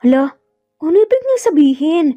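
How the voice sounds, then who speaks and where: A woman's voice: high-pitched, sing-song, normal speed, mellow, highs cut off, faint music behind, voice pushed up. A woman voicing a flustered, embarrassed young housemaid in a story, polite and stammering.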